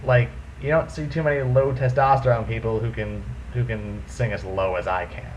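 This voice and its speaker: A young man with a deep voice hosting a casual vlog, talking animatedly and swinging his pitch up and down for emphasis. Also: steady tone, normal speed, lo-fi sound, slight background noise. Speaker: vlogger host.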